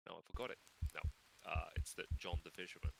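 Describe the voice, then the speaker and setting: A man with a Finnish accent, a native Finnish speaker, teasing his friends in a playful, deadpan way during a gaming stream. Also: low-pitched, fast talker, vocal fry, poor audio. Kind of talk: casual chat while gaming.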